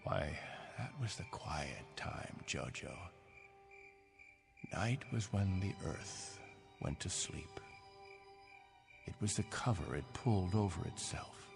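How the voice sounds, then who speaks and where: Slow, low-voiced aging space captain. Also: male voice, lively intonation, vocal fry, exaggerated voice. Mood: wistful, nostalgic. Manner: wistful, deliberate storytelling with dramatic pauses. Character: aging spaceship captain, wistful leader of stranded colonists. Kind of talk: dramatic radio acting. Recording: long reverb, music underneath.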